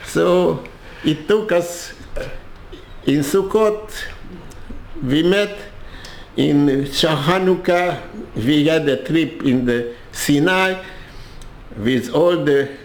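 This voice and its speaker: Older Hungarian-accented man, interviewee, slow and halting with many restarts, in a roomy, echoing space. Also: fairly high pitch. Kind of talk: personal storytelling.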